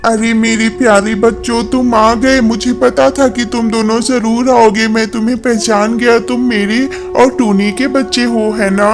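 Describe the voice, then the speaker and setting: A woman's voice: a little high, little pitch movement, unhurried, hardly any pauses, over music. Woman as a bullying, domineering father bird. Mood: pleased. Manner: domineering, demanding. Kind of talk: Hindi animated moral story dialogue.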